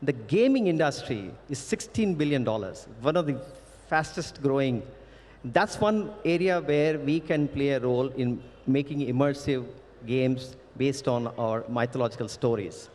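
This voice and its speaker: A man moderating a panel discussion, speaking hesitantly with many pauses and fillers. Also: medium pitch, animated, a little mellow, slight background noise. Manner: hesitant, many fillers before handing over. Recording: hall reverb.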